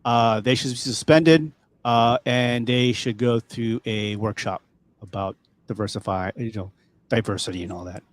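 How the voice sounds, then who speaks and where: A man's voice: medium pitch, normal speed, no echo. A man with a Californian accent hosting a podcast, talking loosely with many fillers and restarts. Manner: relaxed, rambling, many fillers. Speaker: podcast host. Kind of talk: casual chat.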